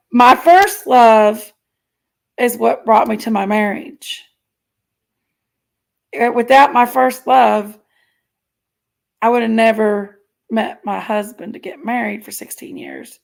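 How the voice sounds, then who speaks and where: A woman's voice: mid-range voice, creaky. A woman, chatting frankly and giggling as she hosts a live stream and reads viewer comments. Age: 40s. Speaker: livestream host. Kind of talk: casual chat reading viewer comments.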